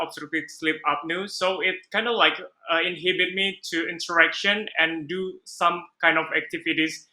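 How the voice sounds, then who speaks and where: A man's voice: a little high, pushed and bright, roomy sound, rough recording. A man from Indonesia with an Indonesian accent gives a personal interview answer in hesitant, broken-up phrases. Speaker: pageant contestant and doctor.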